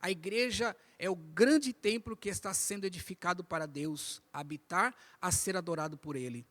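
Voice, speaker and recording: A man's voice: sits high, normal speed, fairly bright tone. A man from the São teaches a church Bible lesson, didactic, repeating phrases and asking rhetorical questions. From Brazil. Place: inside a church, speaking to a congregation.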